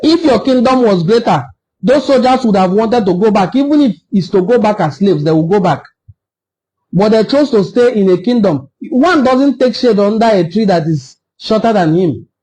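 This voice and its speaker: A man's voice: sits high, animated, moderate pace, warm tone, poor audio. A man from Nigeria with an Igbo-language accent, speaking as the host of an informal, impassioned history talk in an assertive, argumentative way with emphatic repetition.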